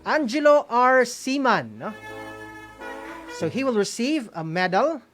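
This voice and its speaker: A woman's voice: fairly high pitch, big pitch swings, unhurried, soft background music. A woman with a Filipino accent co-hosting an awards announcement, mixing English with Visayan and tagging phrases with "no?". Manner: mixes English with Visayan, frequent "no?" tags. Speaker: co-host of an online awards ceremony. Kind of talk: announcing award winners, semi-scripted.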